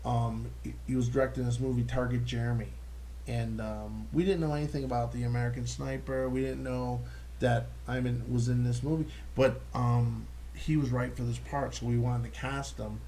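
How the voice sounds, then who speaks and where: A man hosting a casual comedy podcast, talking at an ordinary pitch and swearing freely. He jokes loosely with his guests and laughs easily, and a faint room noise sits behind his voice. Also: flat delivery, moderate pace, mellow, low-quality recording. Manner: loose, profane, laughing banter. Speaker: podcast host.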